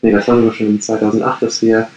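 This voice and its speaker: A man's voice: lowish voice, completely flat delivery, fast talker, a little mellow, highs cut off. A man in his late thirties from the Ruhr area, answering interview questions casually and with many hesitations. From Ruhr area, Germany. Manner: hesitant, many fillers and restarts. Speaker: coworking space co-founder, podcast guest. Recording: roomy echo.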